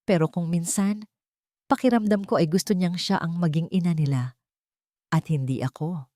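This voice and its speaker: A woman's voice: on the low side, moderate pace, dark tone, dry recording, studio quality, close to an everyday voice. A woman voicing a troubled wife and mother confiding in her diary, in a dramatic audio story reading. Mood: uneasy.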